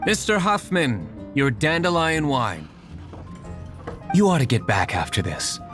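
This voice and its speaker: A man's voice: on the high side, huge pitch swings, unhurried. An actor playing an aloof young nobleman and secret vigilante in a video game, curt, cool and formal. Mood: composed. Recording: music behind the voice.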